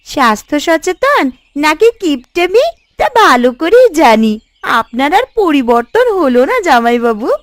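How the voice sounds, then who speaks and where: A woman voicing a sharp-tongued, teasing younger married daughter in a Bengali cartoon story. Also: high-pitched, very animated, unhurried, dry recording. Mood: sarcastic.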